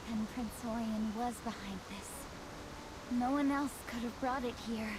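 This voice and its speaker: Woman as an earnest high-voiced game heroine. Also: measured pace, natural voice. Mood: earnest resolve. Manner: earnest, concerned. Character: earnest, compassionate young heroine in fantasy game. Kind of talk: video game character acting. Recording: hissy background noise.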